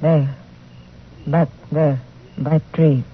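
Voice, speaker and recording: A performer playing a frightened, guarded young wife who speaks halting broken English in an old radio western. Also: medium pitch, flat delivery. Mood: reluctant. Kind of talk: old-time radio drama acting. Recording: noisy, tinny old radio recording.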